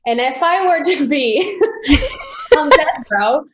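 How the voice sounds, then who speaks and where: Brief: young woman from Peru, talkative interview guest, fairly high voice, frequent restarts. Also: very animated, slow pace, noisy background. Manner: chatty, many restarts and fillers, laughs easily. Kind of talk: casual interview chat.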